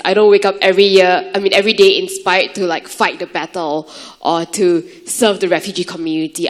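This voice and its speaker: Woman, earnest conversational forum speaker, frequent fillers, conference panel. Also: medium pitch. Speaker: youth leader working with refugee children. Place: conference hall.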